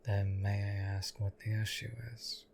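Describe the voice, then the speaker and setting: A stoic, devoted knight acted by a man speaks in a low, even monotone. His delivery is formal, measured and deadpan, as in an intimate audio drama addressed to his liege. Noticeable background noise sits behind the voice. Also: close to an everyday voice. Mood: earnest, deadpan. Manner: deadpan, formal, measured, archaic courtly phrasing. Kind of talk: scripted audio roleplay addressed to the listener.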